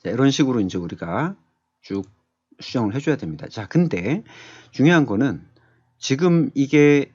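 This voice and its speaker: Male tutorial instructor, conversational and thinking aloud; husky tone, dull close lo-fi recording. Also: mid-range voice, expressive, moderate pace, a little mellow, dry recording. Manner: conversational, thinking aloud, often checking with short tag questions. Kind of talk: screen tutorial, explaining step by step. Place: indoors, screen-capture voice-over.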